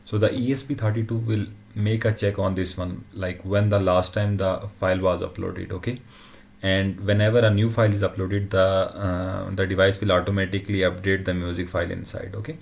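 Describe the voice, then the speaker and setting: A man presenting an informal technical demo in a halting way, often checking in with short tag questions. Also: sits low, flat delivery, gravelly. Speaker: tutorial presenter.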